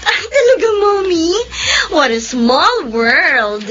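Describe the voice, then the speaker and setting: A woman's voice: very high voice, very animated, slow, sharp tone, full character voice. An actress playing a bubbly, girlish, cheerful young daughter in an exaggerated Filipino audio drama. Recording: dull audio with faint noise.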